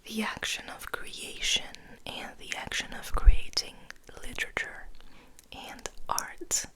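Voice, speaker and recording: A woman whispering softly in an ASMR style, reading and rambling in a hushed, unhurried, gentle way. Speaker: ASMR host.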